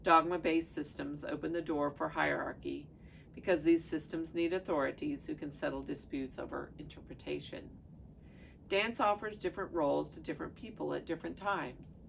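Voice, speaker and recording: A woman with a notably low, gravelly voice reads aloud as a pastor sharing a devotional text. Her phrasing is measured and deliberate, and there is some background noise in the recording. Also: steady tone, rough recording. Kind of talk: reading aloud from a devotional book.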